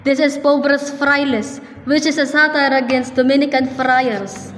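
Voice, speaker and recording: A woman's voice: sits high, fairly even intonation, unhurried, fairly bright tone. A young woman with a Filipino accent playing a stern, accusing colonial inspector in a student radio drama. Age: young adult. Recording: echoey reverberant hall.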